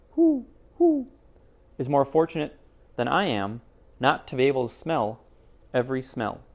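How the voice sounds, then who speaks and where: A man performs a haughty storybook owl with a gravelly edge. The speech is wordy and pompous, and it swoops up high for long hoots. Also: darkish tone. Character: haughty great horned owl, witty and hooting. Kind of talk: children's picture book character reading.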